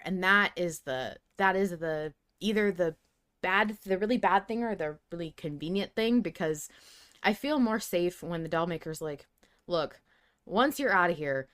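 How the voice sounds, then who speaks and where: A woman's voice: lowish voice, fairly bright tone. A woman co-hosting a podcast in casual discussion, emphatic and wryly opinionated. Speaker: podcast co-host. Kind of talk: casual discussion of a fiction podcast, reading listener submissions.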